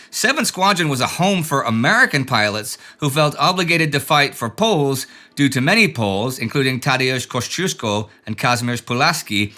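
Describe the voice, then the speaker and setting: A man's voice: fairly high pitch, bright, forceful tone. A man hosting a show, reading out viewer letters and comments with dry, joking asides. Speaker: show host.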